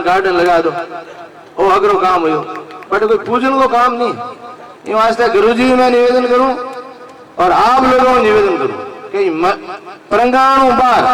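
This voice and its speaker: A man with a Rajasthani accent speaks in a high voice to a community gathering. He makes emphatic, repeated appeals as a leader. The microphone sits far from him, with a clear crowd sound around. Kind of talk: public speech to a community gathering. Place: large fair gathering. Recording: distant microphone amid an open crowd.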